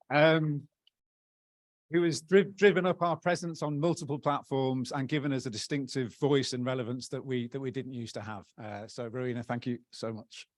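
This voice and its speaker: A man, the outgoing president of an association, giving upbeat, joking closing thanks to a conference, halting often to find his words. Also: medium pitch, fairly even intonation, no echo, clean studio sound. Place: conference hall plenary.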